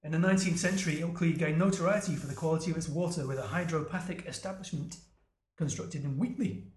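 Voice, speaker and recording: A man's voice: a little high, quick pace, a little mellow. A man hosting a casual beer podcast, chatty and jokey, reading out facts between tastings. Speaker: podcast host. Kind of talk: casual chat. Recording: echoey room.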